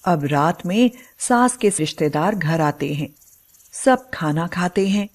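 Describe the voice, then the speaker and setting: A woman's voice: lowish voice, expressive, slow. A woman narrator reading a scripted dramatic family story.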